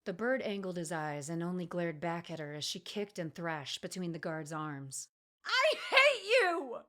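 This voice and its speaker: A woman's voice: fairly low pitch, moderate pace, lightly acted. A woman voicing a furious young female elf assassin who screams with her voice cracking. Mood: desperate rage.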